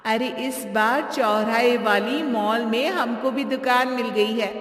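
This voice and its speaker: A woman voicing an excitable, chatty young daughter-in-law in a cartoon moral story, gushing and boastful. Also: a little high, a little slow, soft background music, natural voice. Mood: excited. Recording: echoey with long reverb.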